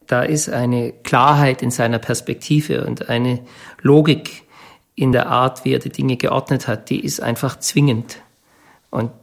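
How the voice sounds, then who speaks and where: A man speaking reflectively in an interview, in thoughtful, measured phrases. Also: mid-range voice, creaky. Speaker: interviewee, descendant of a company founder.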